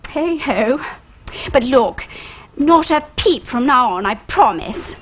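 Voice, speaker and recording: An actress playing a loud, bossy middle-aged neighbour woman who talks in a booming, brisk and hearty way, in a British TV sitcom. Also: expressive, slightly rough, exaggerated voice. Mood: apologetic. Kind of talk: 1980s British sitcom acting.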